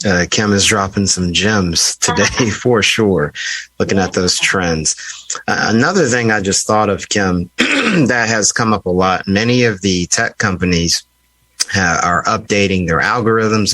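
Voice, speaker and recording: Casting: male podcast host, low register, conversational and measured, very dry room. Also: fairly bright tone, no room sound, poor audio. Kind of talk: conversational interview questions.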